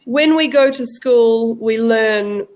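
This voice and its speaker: Nz lady tutor on a video call, talks slow and careful, easy to follow. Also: fairly high pitch, a little mellow. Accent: New Zealand. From New Zealand. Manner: patient, speaks slowly and clearly for a learner. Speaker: online English tutor. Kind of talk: casual video-call conversation.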